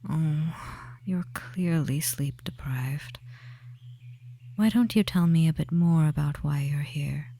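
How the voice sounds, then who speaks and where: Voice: medium pitch, slow pace, vocal fry, dark tone, a little hiss or hum. A performer voicing a tender, devious male forest witch in an ASMR roleplay, whispering breathily and fussing soothingly over the listener with endearments. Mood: coaxing, concerned.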